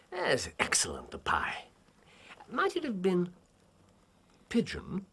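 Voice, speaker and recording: An actor playing a shrewd, wry gentleman detective with a British accent, speaking precisely and theatrically in an audio drama. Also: high voice, wildly swooping pitch, slow, creaky, slight background noise, exaggerated voice. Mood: lively, genial.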